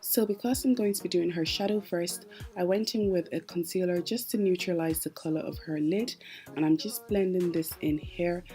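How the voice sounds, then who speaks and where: A woman's voice: fairly low pitch, normal speed, warm tone, soft background music. A young woman narrating a makeup tutorial, chatty and explaining each step as she works. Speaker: makeup artist.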